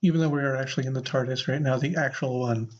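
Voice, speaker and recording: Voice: mid-range voice, fairly even intonation, quick pace. Rambling podcast host, muffled sound. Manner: rambling, repeats phrases. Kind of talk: casual improvised banter.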